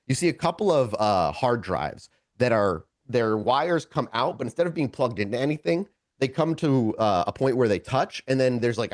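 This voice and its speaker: Man narrating a tabletop game, lively intonation. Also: on the low side, no room sound, studio quality. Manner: halting, self-correcting, searching for words. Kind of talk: improvised descriptive narration addressed to players.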